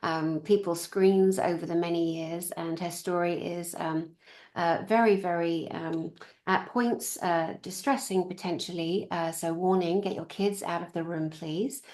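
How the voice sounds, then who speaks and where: A woman hosts her own interview channel, her voice sitting low. She speaks hesitantly, with many ums and uhs and restarts as she introduces her guest and asks questions. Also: fairly even intonation, unhurried, a little mellow. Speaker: host. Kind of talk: interview hosting.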